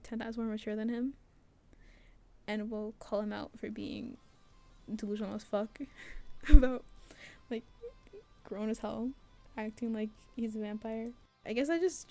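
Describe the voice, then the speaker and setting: A woman's voice: on the high side, mellow. A young woman giving a casual, giggly, rambling voice-over in informal, slangy speech, like a creator narrating a devlog. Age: young adult. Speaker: video creator narrating own game devlog. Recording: music behind the voice. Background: background music.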